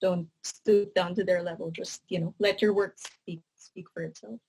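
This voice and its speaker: Husky Filipino woman giving advice. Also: on the low side, moderate pace, poor audio. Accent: Filipino English. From Philippines. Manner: relaxed, earnest, says "you know" a lot. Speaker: guest, writer and content editor. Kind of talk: casual interview answers.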